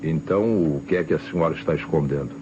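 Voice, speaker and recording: An actor playing a stern, suspicious Brazilian police inspector who questions insistently in an old radio detective drama. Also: male voice, rumbling low, fast. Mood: stern, accusing. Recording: muffled old radio recording, loud noise.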